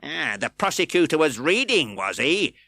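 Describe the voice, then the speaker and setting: A man's voice: on the high side, sing-song, moderate pace, no room sound, pitched above the performer's own voice, full character voice. A man reading aloud and voicing a harsh, sneering male magistrate who barks orders and insults. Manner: barking, insulting, shouting orders.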